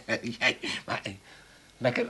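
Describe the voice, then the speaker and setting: A man's voice: measured pace, bright, forceful tone. An actor playing a boastful, lovestruck old father in a comic radio play, with a blustering, stammering manner. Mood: chuckling, teasing. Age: older. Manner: blustering, stammering when worked up.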